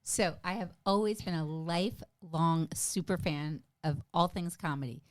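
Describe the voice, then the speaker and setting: A middle-aged woman with a voice a little on the low side, speaking as a guest in a casual conversation. She is chatty and enthusiastic, leaning on key words for emphasis as she tells her stories. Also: a little slow. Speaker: podcast guest, stand-up comedian.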